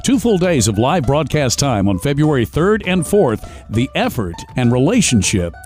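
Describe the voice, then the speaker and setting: A man's voice: medium pitch, very animated, moderate pace, a little mellow. A man reading scripted radio narration as a station announcer. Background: music bed behind the voice.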